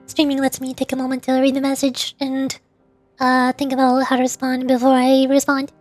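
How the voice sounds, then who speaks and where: High-voiced woman streamer chatting softly. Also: completely flat delivery, fairly quick, dry recording, soft background music. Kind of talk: casual chat with stream viewers.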